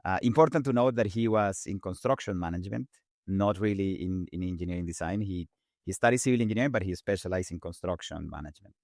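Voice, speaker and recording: Fast-talking Colombian-accented man. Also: low-pitched, dry recording, studio quality. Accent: Colombian Spanish. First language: Spanish. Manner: fast-talking, animated, repeats words while restarting. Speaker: guest engineer. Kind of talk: casual interview talk.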